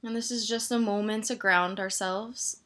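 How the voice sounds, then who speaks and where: A woman meditation teacher gently guiding a body scan, soothing and leaving pauses between her instructions. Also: medium pitch, fairly even intonation.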